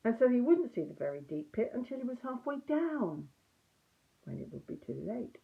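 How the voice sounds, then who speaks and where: Phone-like recording, woman playing earnest male storybook bear, slow-witted, ponderous, musing, animated pitch. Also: creaky. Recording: muffled, narrow phone-like audio.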